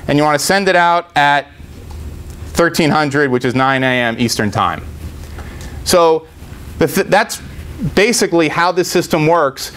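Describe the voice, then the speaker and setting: A middle-aged man giving a live software demo in an informal, step-by-step explanatory way. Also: on the high side. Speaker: tech presenter. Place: indoor meetup presentation room.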